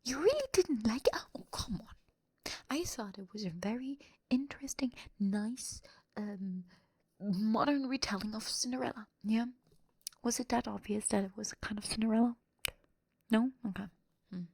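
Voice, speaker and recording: A woman whispers a bedtime story as a quirky anime girl in an ASMR roleplay. Her voice is breathy with touches of vocal fry, recorded very close and dry. She rambles casually, as if making it up as she goes. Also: a little high, lively intonation, moderate pace, a little mellow, no echo. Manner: rambling, improvised teen-slang delivery. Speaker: ASMR roleplay performer and streamer.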